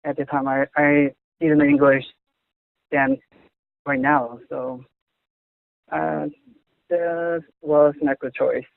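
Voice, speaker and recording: Young man, Korean accent, non-native English, slow and hesitant, dry tinny line. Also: fairly high pitch, level tone, creaky, no room sound. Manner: halting, searching for words, frequent restarts. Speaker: interviewee. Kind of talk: casual conversation in English. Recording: tinny phone-like audio.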